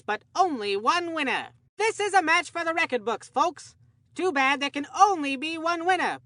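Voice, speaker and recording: A woman voicing an excitable, naive boy baseball commentator in a cartoon sports game, with an earnest, folksy delivery. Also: high-pitched, dry recording, studio quality, exaggerated voice.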